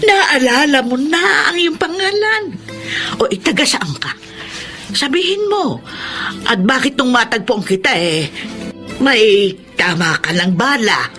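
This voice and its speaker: A woman's voice: sits high, sing-song, slow pace, sharp tone, exaggerated voice. An actress playing a kindly old village woman in a Filipino radio drama, fussing and motherly in her manner. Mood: emotional concern. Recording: radio drama with music underneath.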